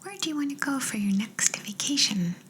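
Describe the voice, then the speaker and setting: A woman's voice: sits high, expressive, fairly quick, fairly bright tone, slight background noise. A woman whispering breathily and playfully as a quirky job interviewer in an ASMR roleplay, close to the microphone. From Canada. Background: writing sounds.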